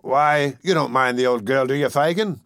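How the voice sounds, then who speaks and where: Man doing a gruff, surly burglar. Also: normal speed, fairly bright tone, dry recording, clean studio sound, natural voice. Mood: irritated. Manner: blunt, scornful, rough lower-class slang. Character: gruff, surly male burglar with short temper. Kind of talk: audiobook character voice.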